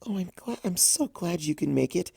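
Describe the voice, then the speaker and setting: A man voicing a shy, gentle young female pegasus pony in a cartoon fan story, soft, breathy and hesitant. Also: medium pitch, lively intonation, moderate pace, dry recording, studio quality, voice pushed up. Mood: grateful, shy. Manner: hesitant, stammering.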